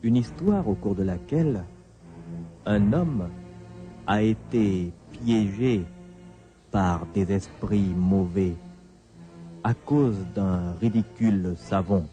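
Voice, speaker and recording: A man narrating a scripted radio drama as storyteller, measured and deliberate, with dramatic pauses. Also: on the low side, mellow. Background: music, night insects.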